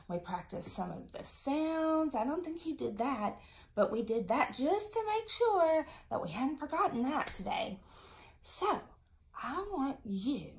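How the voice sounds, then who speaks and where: A woman in her thirties speaks like an elementary teacher to young children. Her voice sits a little high and she goes very slowly, with a deliberate, encouraging manner suited to a kids' lesson. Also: rough recording. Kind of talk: children's video mini lesson.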